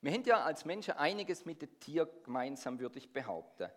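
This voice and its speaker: A middle-aged man with a Swabian accent softened by Swiss dialect preaches a sermon. His voice sits a little higher than most men's. He keeps turning to the congregation with questions and draws answers out of them. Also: big pitch swings, brisk. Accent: Swabian-tinged Swiss German. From Swabia, near Stuttgart. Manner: engaging, asks the congregation questions.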